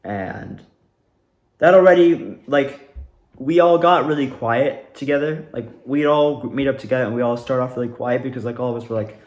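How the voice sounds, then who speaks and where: A man's voice: mid-range voice, moderate pace, darkish tone, echoey room. A young man talking casually about his own experiences in a rambling, self-correcting monologue. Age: young adult. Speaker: drama student.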